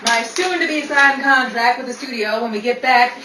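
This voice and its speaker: A woman playing an assertive, quick-witted 1930s Hollywood actress and author in old-time radio style. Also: on the high side, close to an everyday voice. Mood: proud, wry. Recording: roomy, distant, noisy live audience recording.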